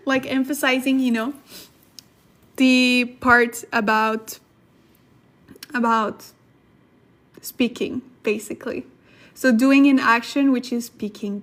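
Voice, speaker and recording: A woman hosting a casual language study livestream, chatting with viewers in a giggly way and repeating herself for emphasis. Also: high voice. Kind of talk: casual livestream chat and lesson, reading viewer comments.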